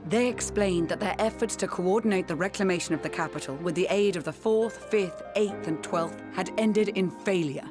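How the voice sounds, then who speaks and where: An actor plays a young envoy in a video game, earnest and firm. The speech is formal, measured and articulate, pitched between low and high. Loud music plays behind it. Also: natural voice. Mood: grave, explaining. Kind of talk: dramatic video game voice acting.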